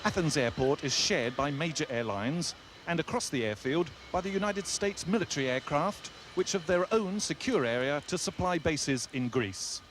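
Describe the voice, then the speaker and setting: A man narrating a scripted news report from the field, brisk and descriptive. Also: a little high, animated, fairly bright tone, slight background noise.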